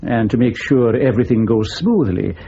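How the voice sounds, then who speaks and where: A man's voice: medium pitch, lively intonation, moderate pace, vocal fry, mellow, husky. An actor playing a wry, drily reassuring male intelligence colleague in a radio drama. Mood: wry, calm. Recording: dull, faintly noisy radio recording.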